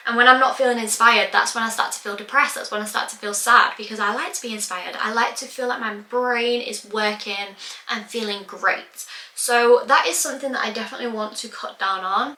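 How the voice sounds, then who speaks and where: A young woman in her twenties with a croaky voice, rambling casually and swearing a bit as she talks to her camera. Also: on the high side, sharp tone, echoey room. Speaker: vlogger. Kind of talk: casual chatty vlog monologue. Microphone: camera mic.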